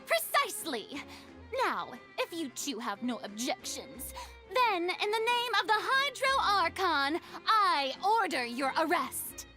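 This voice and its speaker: A woman's voice: extremely high pitch, big pitch swings, unhurried, heavily acted. A woman voicing a theatrical young goddess of justice in a video game, pompous, grandiose and dramatic. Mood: triumphant, commanding. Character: theatrical young female god of justice, haughty, showy. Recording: music under the voice.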